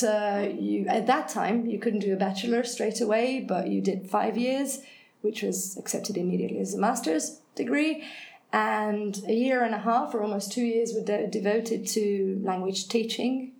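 A woman's voice: mid-range voice, normal speed, vocal fry, a little mellow. A woman from Hungary, speaking English as a guest in an unscripted conversation, thoughtful and often correcting herself. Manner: thoughtful, self-correcting, frequent hesitations. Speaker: head of English department, guest. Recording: echoey room, faint noise.

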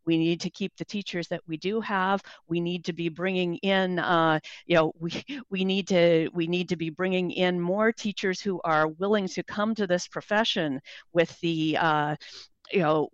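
A guest on a radio talk show who speaks haltingly, with many restarts. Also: medium pitch, fairly even intonation, clear, resonant tone, no room sound, highs cut off. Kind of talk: radio interview.

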